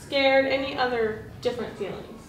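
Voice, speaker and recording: A woman's voice: sits high, normal speed. A woman practicing classroom teaching, asking questions and echoing back answers in an encouraging way. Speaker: teacher rehearsing a read-aloud lesson with colleagues. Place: indoor room with group, distant mic. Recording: echoey room, distant mic, faint noise.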